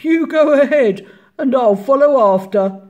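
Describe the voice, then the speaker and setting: A woman voicing a huge, slow-witted storybook monster, amazed and emphatic. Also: sits high, animated, darkish tone, muffled recording, pitched above the performer's own voice. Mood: jovial, booming.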